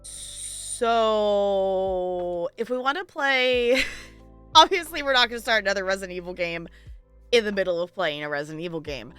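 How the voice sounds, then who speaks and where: A woman's voice: mid-range voice, normal speed, a bit bright, clear, resonant tone, faint music behind. A woman chatting casually on a livestream in a playful, giggly, rambling way. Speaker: live game streamer chatting with viewers.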